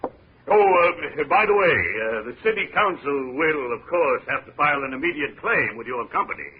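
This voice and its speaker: An actor playing a gray-haired, pompous small-town mayor in 1950s radio drama, husky, hesitant and full of overblown rhetoric. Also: male voice, on the high side, lively intonation, normal speed, a little hiss or hum, lightly acted. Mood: evasive, hesitant. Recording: dull old radio recording.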